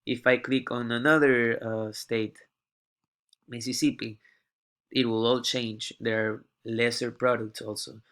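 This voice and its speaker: A young male student talks through a class presentation while sick and coughing. He speaks in a halting way and often repeats a word before pressing on. His voice sits at an ordinary middle pitch. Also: little pitch movement, a bit bright. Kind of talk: class presentation walking through a data dashboard.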